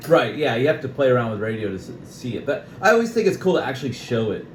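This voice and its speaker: A man's voice: mid-range voice, big pitch swings, quick pace. An American man chatting casually over CB radio as an operator, explaining things and often checking for agreement. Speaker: CB radio operator and video maker. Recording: noisy, echoey room recording.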